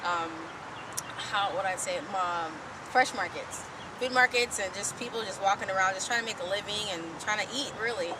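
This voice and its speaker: A woman's voice: medium pitch, moderate pace. A woman in her twenties from Haiti, native Creole speaker with no accent, answering interview questions in a friendly way with many fillers. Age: 20s. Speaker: author, guest. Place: outdoors in a city. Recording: distant mic with street noise.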